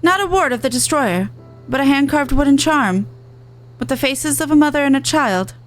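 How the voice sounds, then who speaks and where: A woman voicing an earnest, plain-spoken woman merchant from a video game, in a brisk, colloquial manner. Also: high-pitched, animated, faint music behind, rough recording. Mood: earnest, pleading. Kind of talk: reading video game dialogue in character.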